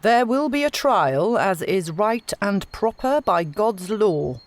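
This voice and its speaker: A woman's voice: medium pitch, very animated, takes it slowly, no room sound, voice pushed up. A woman voicing an arrogant, zealous male witch-finder in a storybook reading, with pompous, declamatory delivery. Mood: righteous, zealous.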